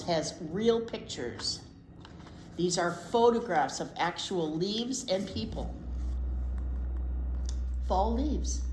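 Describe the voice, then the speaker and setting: Lively American woman teaching at children's storytime. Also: medium pitch. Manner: asks the listeners simple questions. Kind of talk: picture-book read-aloud with chatty asides to young children. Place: indoors in a library. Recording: echoey room with faint background noise.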